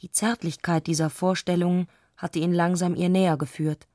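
Low-pitched woman narrating literature. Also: flat delivery, dark tone, dry recording, clean studio sound. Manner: measured, composed storytelling.

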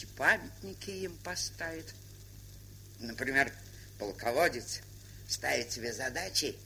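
A woman's voice: low-pitched, pushed and bright, heavily acted, low-quality recording. An actress playing a shrewd, sardonic older woman camp trader, lecturing with worldly irony in a theatrical stage style. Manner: worldly-wise, ironic, lecturing. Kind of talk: theatrical stage drama acting. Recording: hissy 1970s studio drama recording.

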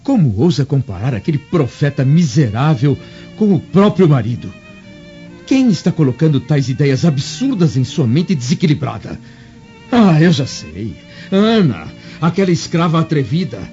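Imperious Roman senator, sweeping intonation. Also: male voice, on the high side, sing-song, normal speed, mellow. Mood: furious. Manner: pompous, scornful, imperious. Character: proud, wrathful, domineering Roman senator and husband. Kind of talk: old-fashioned radio drama acting. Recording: dull lo-fi radio drama with music.

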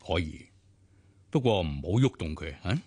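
An actor playing a grave, measured hospital doctor in a radio drama. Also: male voice, low-pitched, animated, a little mellow, no echo.

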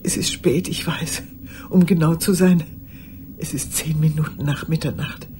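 An older woman acting in a radio drama monologue as a lonely old woman who speaks formally, haltingly and insistently. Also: sits low, steady tone, warm tone, slight background noise. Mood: urgent, apologetic. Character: lonely old woman confiding memories to a young lawyer.

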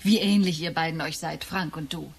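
An actress playing a condescending, needling middle-aged wife in a German radio drama, lecturing smugly like a schoolteacher. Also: female voice, low-pitched, steady tone, moderate pace, gravelly, breathy edge, full character voice. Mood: animated lecturing. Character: know-it-all wife, psychology-minded teacher, needling and condescending.

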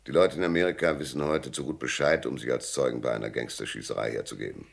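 An actor playing a wry, laconic private detective who narrates and talks in a German noir radio drama. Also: male voice, extremely low pitch, steady tone, fast, gravelly, heavily acted. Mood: wry, insistent. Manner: laconic, sardonic. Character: hard-boiled, wry male private detective and first-person narrator.

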